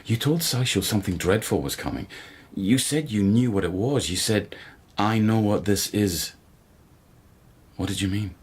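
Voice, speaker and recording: Radio drama: a devoted court policeman, very low and breathy, measured and gently insistent in interrogation. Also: animated. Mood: earnest, tender. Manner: quiet, measured, gently pressing questioner. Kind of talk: literary radio drama acting.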